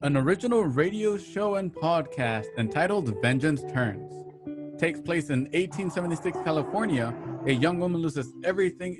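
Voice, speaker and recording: A male host gives a scripted sponsor read in a medium-pitched voice. Plucked guitar music plays clearly behind him. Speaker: host reading a sponsor spot.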